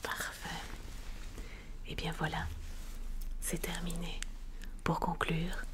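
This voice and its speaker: A woman whispering a medical exam roleplay as a doctor, gently guiding and encouraging the listener in a deliberate manner. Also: fairly low pitch, monotone. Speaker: ASMR performer playing a doctor.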